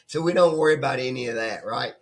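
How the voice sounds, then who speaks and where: Hoarse man giving a rambling coaching talk. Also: mid-range voice, fast. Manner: rambling and conversational, often asks "right?". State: hoarse, voice nearly lost. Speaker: forex trading coach. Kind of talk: casual off-the-cuff coaching talk. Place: at his computer desk.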